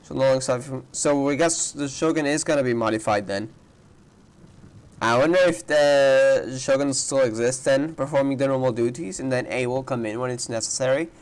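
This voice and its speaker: A man's voice: mid-range voice, moderate pace, clean tone. A man giving casual reaction commentary on a game playthrough, thinking aloud in rambling asides. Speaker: gamer reacting to a story playthrough.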